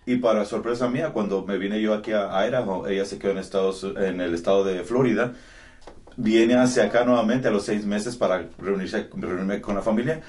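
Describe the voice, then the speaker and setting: Male host in his forties, lowish voice, earnest and encouraging anecdote-teller. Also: fairly quick, darkish tone. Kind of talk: conversational interview talk. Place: small office with bookshelves, indoors.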